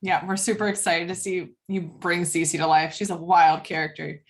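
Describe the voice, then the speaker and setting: A woman's voice: lowish voice, steady tone, normal speed. A woman casually hosting a group video call, passing the turn from person to person. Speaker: host of a cast table read.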